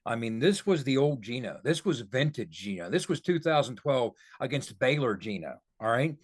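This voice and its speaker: A man breaks down football in a voice of average pitch. He is conversational but emphatic, laying out each point deliberately like a podcast analyst. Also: big pitch swings, slightly rough.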